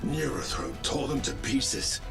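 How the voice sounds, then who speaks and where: A man's voice: mid-range voice, expressive, measured pace, gravelly, music in the background. An actor playing a loyal, battle-worn male Space Marine sergeant in a video game cinematic, formal and clipped. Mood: grim, steadfast.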